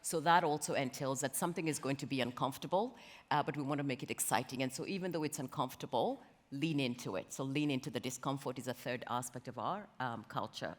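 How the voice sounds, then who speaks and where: A woman's voice: rumbling low, fast talker. A woman from Kenya speaking conversationally as an interviewee on a panel. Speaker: interviewee, company talent executive. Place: conference stage in a large hall. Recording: reverberant hall, stage event.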